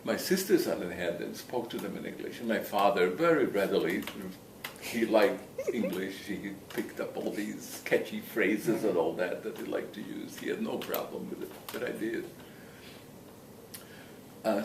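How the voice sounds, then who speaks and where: Older guy w slight latvian accent, lowish voice, chatting about his memories, noisy room. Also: lively intonation, moderate pace. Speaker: interviewee. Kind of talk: casual reminiscence. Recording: echoey room, distant mic, noisy background.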